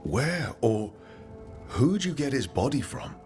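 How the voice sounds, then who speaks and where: An actor playing a friendly, plain-spoken adventurer hero in a video game, talking casually and briefly. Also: male voice, mid-range voice, big pitch swings, slow, darkish tone, faint music behind. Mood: curious, wry. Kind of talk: video game character acting.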